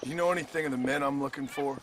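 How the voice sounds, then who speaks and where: A man's voice: medium pitch, rapid-fire, slight background noise, natural voice, rough recording. A man playing a stoic American cowboy gunman in a video game cutscene, terse, dry and polite but unbending, with an American accent. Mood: dry politeness. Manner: deadpan, terse.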